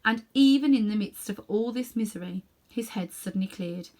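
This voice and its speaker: A woman narrating a children's novel as an expressive read-aloud storyteller. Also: medium pitch, normal speed. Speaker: storybook reader.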